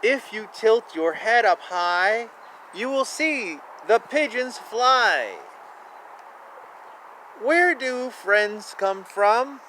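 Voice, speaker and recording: Man, high-pitched bright voice, children's storybook reading, slow sing-song delivery, outdoor noise. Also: animated. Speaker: children's book reader. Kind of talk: reading picture books aloud with asides. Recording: outdoor recording with clear ambient noise. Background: outdoor ambience.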